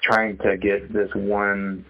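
A man with a fairly low voice speaks casually over a muffled phone connection. He is an artist being interviewed, feeling his way through his thoughts with halting, reflective phrasing. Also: little pitch movement. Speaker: interviewee, sculptor. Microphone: telephone. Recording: dull, band-limited phone call.

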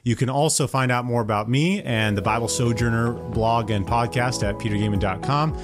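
Mid-pitched man introducing podcast over guitar. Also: normal speed. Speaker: podcast host and seminary professor. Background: guitar music.

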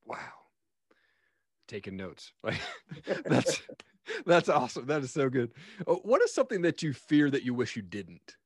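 A man's voice: mid-range voice, big pitch swings, a little slow, husky, dry recording, clean studio sound. Friendly man in his forties hosting a podcast. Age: 40s. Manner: friendly, affirming listener. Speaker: podcast host. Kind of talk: casual interview chat.

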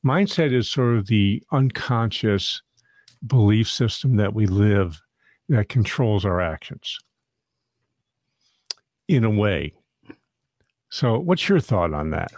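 A man's voice: on the low side, animated, slow pace, pauses often, a little mellow, dry recording, studio quality. A man hosting a podcast interview, talking in a friendly, rambling way and often restarting his sentences. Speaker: podcast host.

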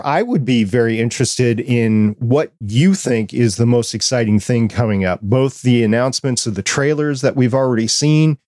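A man's voice: on the low side, a little mellow, no echo, clean studio sound. A middle-aged man speaking as a podcast co-host in a casual fan discussion.

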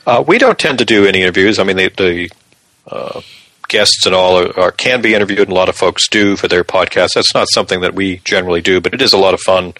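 A man's voice: deep voice, fast, pushed and bright, no echo, low-quality recording. A man chatting casually as a co-host on a podcast.

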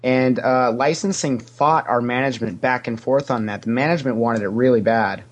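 A man's voice: medium pitch, normal speed. A man giving casual, anecdotal answers as a podcast guest, a talkative storyteller who acts out what people said. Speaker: podcast guest, former theme park character actor.

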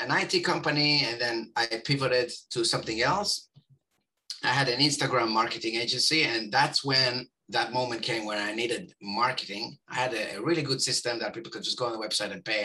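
A man's voice: medium pitch, pushed and bright, poor audio. A man in his forties with an Israeli accent, talking casually as an interview guest, rambling with many fillers and restarts. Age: 40s.

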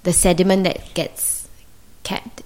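A woman whose first language is Mandarin, talking reflectively as a guest in a conversation, searching for words with many pauses and fillers. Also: fairly low pitch, flat delivery, darkish tone.

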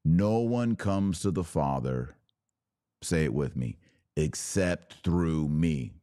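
A man hosting a religious podcast, preaching in an emphatic, declarative way with deliberate pauses and repetition. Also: extremely low pitch, expressive, mellow, no room sound. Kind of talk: preaching monologue.

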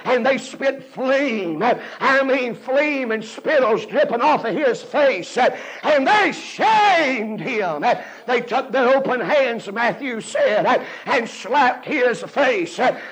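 A man's voice: very high voice, fairly bright tone, husky, low-quality recording. A man preaching a fire-and-brimstone evangelistic sermon, fervent and urgent, repeating and punching his phrases.

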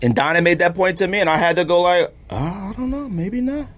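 A man's voice: high voice, brisk. A man hosting a casual podcast chat, talking in an emphatic, opinionated, slangy way. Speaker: podcast host.

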